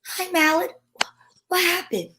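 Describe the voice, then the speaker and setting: Woman acting a plucky wisecracking girl detective, high voice, old radio show style, noisy recording. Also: big pitch swings, unhurried, exaggerated voice. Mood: coy, indignant. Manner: dramatic storytelling. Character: plucky wisecracking female private eye Candy. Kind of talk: old-time radio detective acting, first-person narration.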